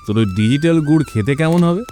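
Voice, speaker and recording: A man's voice: fairly high pitch, expressive, fast, warm tone, soft background music, natural voice. A man voicing a hardworking cartoon village craftsman who is plain-spoken, chiding and scoffing. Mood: scornful disbelief. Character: hardworking, scoffing traditional village jaggery maker, older brother type. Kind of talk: animated cartoon dialogue.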